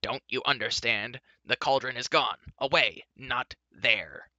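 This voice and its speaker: A man's voice: medium pitch, a little slow, sharp tone, no echo, muffled recording. A man doing a snappish little fair-folk creature. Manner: snappish, blustering.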